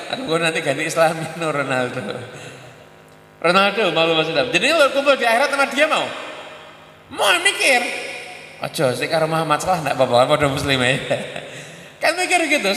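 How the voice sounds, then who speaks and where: A man's voice: a little high, sharp tone. A man in his thirties with a Javanese accent, preaching a jokey sermon and playing out questions from the audience. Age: 30s. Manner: jokey, mixes languages, acts out audience questions. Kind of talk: Islamic sermon. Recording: echoey hall recording with hum.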